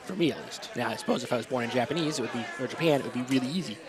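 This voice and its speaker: A man's voice: medium pitch, very fast, a crowd in the background. A man from New England doing casual, wry play-by-play commentary on a curling match. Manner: chatty, wry, stumbles over names.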